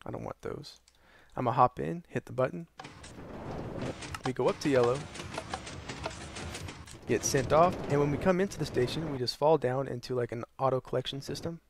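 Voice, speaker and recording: Hoarse, tired young man with a gravelly voice. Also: moderate pace, background noise. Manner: loose and rambling with many ums and uhs; tired, low energy. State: hoarse, losing his voice. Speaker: gaming channel host. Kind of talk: casual tutorial narration over gameplay.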